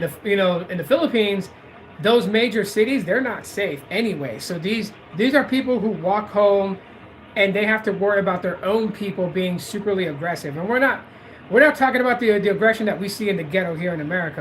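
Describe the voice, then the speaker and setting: A man's voice: high-pitched, a little hiss or hum, poor audio. Rambling man on a livestream. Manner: rambling, repeats words, drifts between loud and quiet. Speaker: livestream host. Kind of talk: casual livestream rant.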